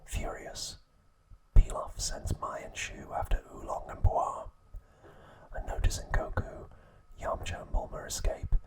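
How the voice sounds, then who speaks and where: A man narrating in a hushed, breathy ASMR whisper, in short phrases with pauses. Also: low-quality recording. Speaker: ASMR host.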